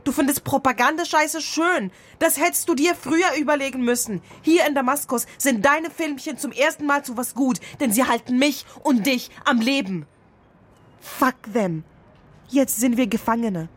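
A woman's voice: sits high, moderate pace. A woman acting in a radio drama as a hard-nosed, guarded, teasing woman with a blunt manner. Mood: bitter, commanding. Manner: blunt, switches into English phrases.

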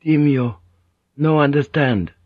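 A man's voice: fairly high pitch, slow pace, no room sound, dull audio, voice pushed up. A man voicing a polite but firm Japanese village headman in an audiobook, with a Japanese accent and clipped, broken English phrases. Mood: polite, halting.

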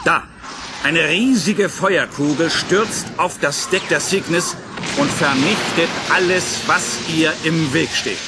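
Dramatic male narrator over loud music. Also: on the high side, slow. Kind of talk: dramatic scripted narration of a radio play.

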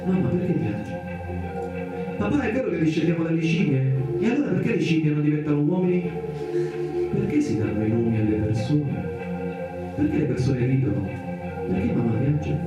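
A man's voice: a little high, animated, a little fast, long pauses, lo-fi sound, natural voice. A man playing a naive young child in radio theatre, pestering parents with insistent questions. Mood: insistent, wondering. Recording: very echoey, distant, music over the voice.

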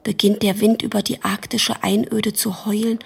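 A woman playing a grave chronicler at sea, narrating a radio drama in a husky, creaky voice. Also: mid-range voice, little pitch movement, normal speed, full character voice.

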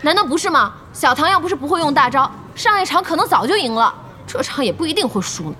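A woman's voice: sits high, sing-song, quick pace, slight background noise, heavily acted. An actress playing a feisty, bubbly young woman in an audio drama, speaking in a playful, sassy, exclamatory way. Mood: defiant. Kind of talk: audio drama acting, animated dialogue. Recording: loud music and crowd behind.

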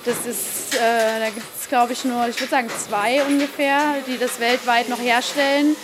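A woman's voice: on the high side, fairly even intonation, measured pace. A woman casually explaining manufacturing work on a tour of a small production room. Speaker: cassette production worker, interviewee. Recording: hissy background noise of production room. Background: hiss and room noise.

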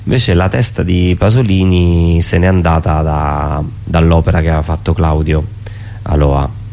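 A man explaining his performance piece informally as a guest, in a halting way with frequent self-corrections. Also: low voice, fairly even intonation, creaky, warm tone, slight background noise. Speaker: performance artist, interview guest. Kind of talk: informal spoken presentation of own show. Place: radio studio.

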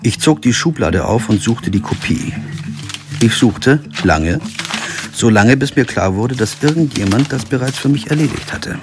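A man's voice: medium pitch, animated, moderate pace, lightly acted. A man playing a sober, understated lawyer who narrates a radio crime drama in standard German. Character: male lawyer narrating his own crime investigation. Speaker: actor playing narrator and lead. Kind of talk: radio play narration and dialogue. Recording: music behind the voice.

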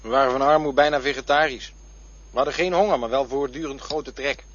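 A man acts out a fearful dreamer who muses poetically on his childhood and turns prickly when pushed. His voice is husky and of middling pitch. The radio play recording sounds muffled and lo-fi. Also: fairly even intonation, a little fast, a bit bright, lightly acted. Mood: prickly, defensive. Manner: musing, poetic, sometimes prickly. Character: fearful man looking back on a poor childhood. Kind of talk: radio drama acting.

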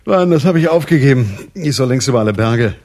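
An actor playing a laconic, world-weary private detective in a radio drama, speaking in a dry, sardonic manner. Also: male voice, medium pitch, animated, fast, a little mellow, no room sound, lightly acted. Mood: dry, resigned. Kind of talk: radio drama acting and first-person narration.

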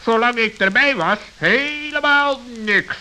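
A man's voice: very high voice, slow, sharp tone, voice pushed up, heavily acted. A man playing a clever, self-satisfied raven with an argumentative, emphatic manner in a children's radio play. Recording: hissy, crackly old audio recording.

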